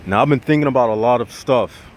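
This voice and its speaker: A man's voice: mid-range voice, quick pace. A man hosting his own channel, talking straight to his viewers in a casual, preachy way, slightly nasal from a cold, with a lilt. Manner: preachy, animated, addresses viewers as y'all. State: nasal, getting over congestion. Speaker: channel host.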